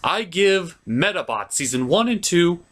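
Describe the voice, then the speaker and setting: Male review host: punchy, sharp-edged voice, very varied pitch, emphatic and opinionated, informal delivery. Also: very animated, unhurried. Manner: emphatic, opinionated, casual and blunt. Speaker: host of a cartoon review show. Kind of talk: enthusiastic scripted video review with casual asides.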